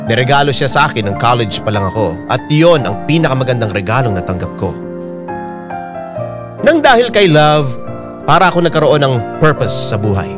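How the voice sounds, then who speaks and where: A man narrating a listener's letter as a radio drama host, in a dramatic scripted style. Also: medium pitch. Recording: voice over piano music bed.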